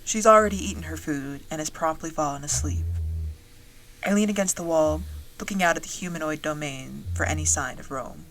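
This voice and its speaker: A woman playing a wry dragon-riding soldier, narrating a fantasy audio drama in the first person in a measured storytelling way. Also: deep voice, a bit bright, a little hiss or hum, rough recording. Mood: composed, watchful.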